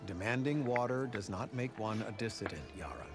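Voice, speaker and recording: An actor playing a proud male desert clan warrior who talks in a measured, earnest way, in video game dialogue. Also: lowish voice, animated, music in the background, close to an everyday voice.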